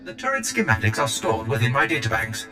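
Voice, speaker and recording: In an echoing hall with music behind, a fussy protocol droid for a video game. The voice is bright and very animated, prim and full of theatrical grievance. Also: male voice, on the low side, fairly quick, heavily acted. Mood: pleading. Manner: prissy, theatrical complaining. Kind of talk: video game cutscene acting.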